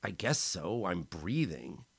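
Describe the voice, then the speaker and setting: A man's voice: low voice, sing-song, takes it slowly, creaky, husky, exaggerated voice. A man voicing a battered young man who wheezes and cries as he talks. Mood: weak. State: hoarse, wheezing.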